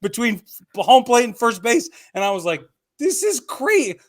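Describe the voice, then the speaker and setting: A man's voice: very high voice, big pitch swings, moderate pace. A man co-hosting a sports podcast, talking casually and with enthusiasm. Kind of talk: casual baseball chat.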